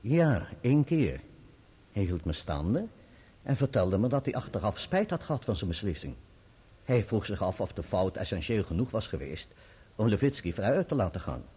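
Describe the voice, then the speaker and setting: An actor playing a composed, candid barrister who gives formal, explanatory testimony in a radio play. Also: male voice, lowish voice, big pitch swings, normal speed, warm tone, slightly rough. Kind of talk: radio play courtroom acting.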